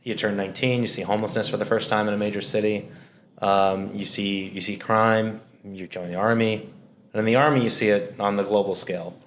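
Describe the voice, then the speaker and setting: A man's voice: lowish voice, fairly even intonation, brisk, a little hiss or hum. A man from Minnesota, a guest in a conversational interview, who talks in an earnest, reflective way. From Minnesota, United States.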